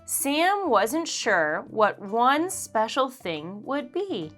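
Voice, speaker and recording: A woman reading a children's story aloud in an expressive, deliberate storytelling manner. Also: on the high side, faint music behind. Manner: expressive, deliberate, acts out dialogue. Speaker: children's book narrator. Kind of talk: read-aloud storytelling for kids.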